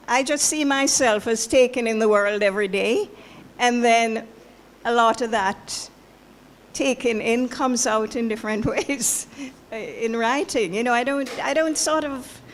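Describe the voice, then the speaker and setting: Older Jamaican-born woman author, relaxed. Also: on the high side, a little hiss or hum. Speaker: author being interviewed. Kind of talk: live onstage interview.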